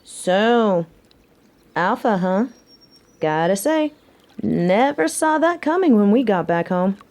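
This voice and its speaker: A woman's voice: medium pitch, sing-song, slow pace, darkish tone. A woman playing a tough, sardonic but caring young biker girlfriend in a roleplay audio drama, talking in casual slang with a teasing, wry manner. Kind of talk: scripted roleplay audio drama, intimate one-sided conversation. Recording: faint background noise.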